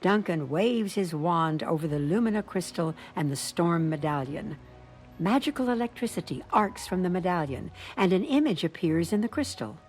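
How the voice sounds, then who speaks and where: A woman's voice: lowish voice, animated, moderate pace, a little mellow, soft background music. A woman reading scripted narration for a video game.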